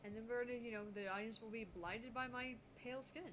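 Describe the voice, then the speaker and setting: A woman's voice: on the high side, fairly even intonation, fast talker. A woman co-hosting a gaming channel with casual, playful banter. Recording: clear background noise, room ambience.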